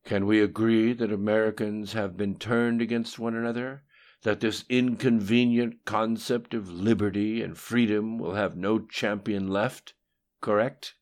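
A man's voice: sits low, lively intonation, a little slow, pitched above the performer's own voice. A menacing supernatural tyrant, acted by a male audiobook narrator, speaks as a bodiless voice. He is imperious and scolding, commanding obedience in a raised, emphatic delivery. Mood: stern questioning. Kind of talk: audiobook dialogue acting.

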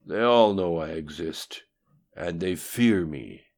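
A man's voice: low-pitched, animated, slow, a little mellow, full character voice. A man voicing a menacing, disembodied supernatural tyrant in an audiobook, with a commanding, scolding manner. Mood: angry, domineering. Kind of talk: audiobook dialogue acting.

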